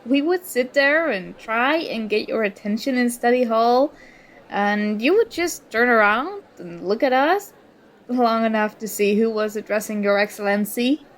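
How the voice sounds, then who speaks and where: A woman's voice: on the high side, unhurried, lightly acted. A woman acting an indignant, sarcastic young student confronting a former school enemy in an audio roleplay. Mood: bitter, sarcastic. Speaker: ASMR roleplay performer. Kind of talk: ASMR roleplay acting, one side of a dialogue.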